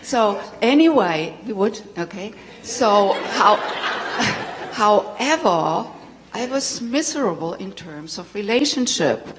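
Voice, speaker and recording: A woman's voice: mid-range voice, lively intonation, slow, noisy background. A middle-aged German-accented woman telling her personal story to an audience as a tantra teacher, candid and laughing often. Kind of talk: personal talk to a live audience. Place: spiritual center hall. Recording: long hall reverb.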